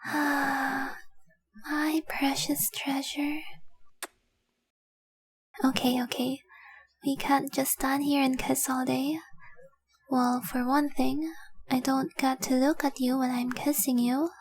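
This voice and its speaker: A woman voicing an adoring, possessive dragon-blooded girlfriend in an intimate audio roleplay, tender and breathy, often slipping into a whisper. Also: sits high, little pitch movement, slow, long pauses. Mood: adoring, playful. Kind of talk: intimate romantic audio roleplay, addressed to the listener.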